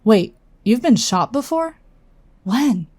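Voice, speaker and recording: A woman's voice: fairly high pitch, expressive, slow, darkish tone, no echo. An actress playing a loyal female guardian who speaks in a dry, teasing but supportive way. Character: loyal wry female guardian, best friend. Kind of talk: audio drama acting.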